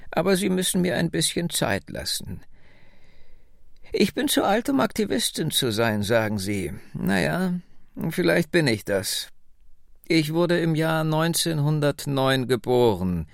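A man's voice: on the high side, big pitch swings, a little slow, creaky, no echo, clean studio sound, pitched above the performer's own voice, full character voice. A man reading as a wry woman of nearly a hundred. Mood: reminiscing. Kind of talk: radio book reading.

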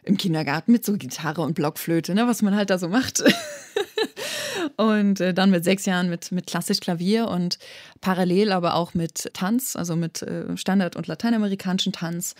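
A woman's voice: fairly low pitch, moderate pace, darkish tone, dry recording, clean studio sound. A young woman from eastern Germany, a musician answering interview questions in an earnest, thoughtful way, often restarting her phrases. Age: young adult. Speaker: guest musician.

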